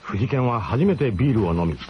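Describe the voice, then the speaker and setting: Animated male storyteller, dull old radio sound. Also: mid-range voice, brisk, over music. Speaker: narrator. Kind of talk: dramatic first-person storytelling in a radio drama. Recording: dull, slightly noisy old radio broadcast.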